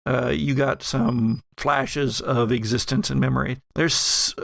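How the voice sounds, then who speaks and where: A man acting as game master, casually narrating a tabletop story and pausing mid-sentence. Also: medium pitch, vocal fry, no echo.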